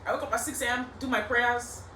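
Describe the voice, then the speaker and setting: A woman's voice: on the high side, fairly even intonation, fast, bright, forceful tone, recorded from a distance. A young woman hosting a casual podcast, teasing and playful, using slangy chat. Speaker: podcast host. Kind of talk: casual banter interview. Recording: roomy, off-mic, faint background hiss.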